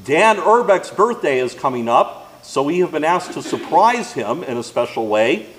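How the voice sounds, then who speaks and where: A man with an American accent and a notably high voice. He speaks as a pastor, delivering practical announcements to a congregation. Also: big pitch swings, normal speed, fairly bright tone, slight background noise, low-quality recording. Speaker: pastor giving church announcements. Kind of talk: church announcements.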